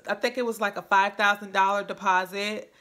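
A woman's voice: medium pitch, fairly even intonation, a little fast, sharp tone. A woman in her thirties chatting casually to camera as a vlogger, recorded indoors in a room at home. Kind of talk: casual chat to camera recounting an experience.

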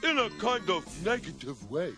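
A man's voice: high-pitched, wildly swooping pitch, normal speed. A man playing a dim, proud robot henchman in a cartoon, talking in a dopey grumble. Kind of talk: cartoon character acting. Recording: loud music behind, old cartoon soundtrack.

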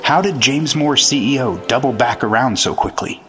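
A man's voice: mid-range voice, normal speed, fairly bright tone, soft background music, close to an everyday voice. An actor playing an earnest, dogged 1950s male FBI agent, narrating his case dramatically in an old-time radio detective drama. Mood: brooding, suspenseful.

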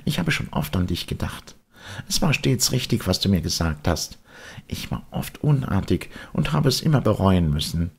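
A man's voice: low voice, sing-song, moderate pace, vocal fry, darkish tone, slightly rough, pitched above the performer's own voice, full character voice. A man voicing an earnest young wooden puppet boy in a storybook, speaking emphatically and with feeling.

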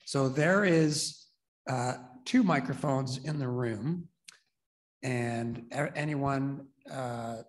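A man in his thirties, moderating a conference panel and explaining how audience questions will work, in a relaxed, joking way. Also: mid-range voice, very slow. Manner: casual and joking. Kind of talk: event instructions. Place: conference room with large window. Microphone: handheld mic.

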